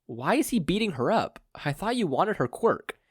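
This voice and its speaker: A man's voice: high-pitched, big pitch swings, moderate pace, slightly rough, dry recording, voice pushed up, heavily acted. A worried, timid mother as a male reader puts her on. The voice is lifted well above his own, hesitant and nervous, yet politely candid. It is a dry storybook-style recording. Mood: uneasy.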